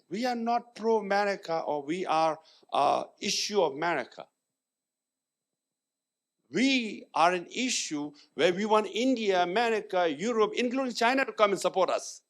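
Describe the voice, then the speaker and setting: A man's voice: high voice, animated, measured pace. Guy on a panel making his point hard, voice jumps all over, mixes in hindi bits. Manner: emphatic and argumentative, mixing Hindi phrases into English. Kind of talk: panel discussion. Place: conference hall.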